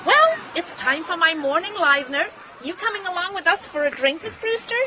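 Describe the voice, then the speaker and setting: A woman's voice: very high voice, sing-song, brisk, background noise. A woman playing a chatty, domineering middle-aged American wife in a radio drama, gushing and forever seeking agreement. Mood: bossy, breezy. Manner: gushing, garrulous, seeks constant agreement.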